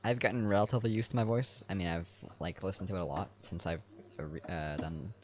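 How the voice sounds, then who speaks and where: A teenage boy co-hosting a casual podcast chat, quick and chuckling, often trailing off. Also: sits low, darkish tone, slight background noise. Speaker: podcast co-host.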